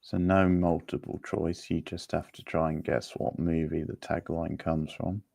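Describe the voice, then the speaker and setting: A man's voice: deep voice, level tone, unhurried, creaky, a little mellow, no room sound. A man hosting a quiz in a casual, chatty style. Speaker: quiz host.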